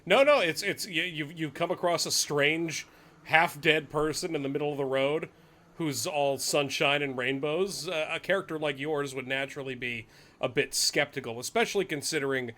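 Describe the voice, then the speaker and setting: A man's voice: fairly high pitch, normal speed, sharp tone. A man running a tabletop fantasy horror game as game master, moving between casual table talk and atmospheric description.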